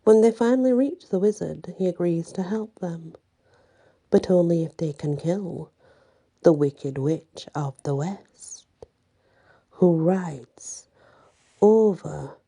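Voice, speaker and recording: A woman tells a bedtime story in a soft ASMR manner. Her voice is fairly low and very mellow, with a husky, breathy grain. She takes her time and draws out words. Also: takes it slowly, long pauses.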